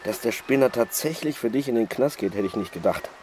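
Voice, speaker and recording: An actor in a German radio crime drama playing a cynical, persuasive lawyer who is a secret lover and accomplice, in a natural style. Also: male voice, medium pitch, animated, brisk, background noise, close to an everyday voice. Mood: relaxed, gloating.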